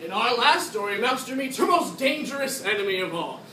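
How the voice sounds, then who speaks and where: A woman's voice: a little high, animated, brisk, bright, forceful tone. A young woman narrating a children's story on stage with theatrical emphasis. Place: classroom, recorded by a far camera. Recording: echoey classroom from far off, noisy.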